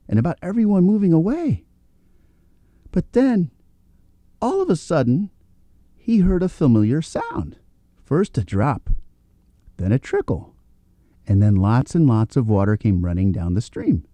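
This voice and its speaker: Very mellow, animated male storyteller. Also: mid-range voice, dry recording. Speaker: storybook narrator. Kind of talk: scripted children's story read-aloud.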